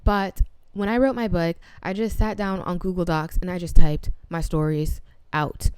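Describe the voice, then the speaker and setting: A young woman in her twenties talks through her own podcast in a chatty, upbeat way, full of casual slang and quick self-teasing. Her voice sits a touch low and comes across smooth and clear. It is recorded close and dry, with no room sound. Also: fairly even intonation, darkish tone, dry recording. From half Puerto Rican. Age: 20s. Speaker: podcast host. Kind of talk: solo podcast monologue.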